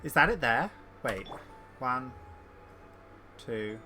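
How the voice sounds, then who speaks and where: A woman's voice: extremely low pitch, expressive, takes it slowly, over music. A woman streaming a video game with casual, chatty, slangy commentary, reacting aloud as she plays.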